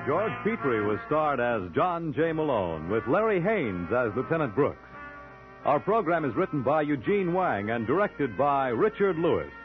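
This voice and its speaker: A man's voice: fairly high pitch, narrow, tinny audio. An American man announcing in old-time radio style, reading scripted credits in a formal broadcast manner.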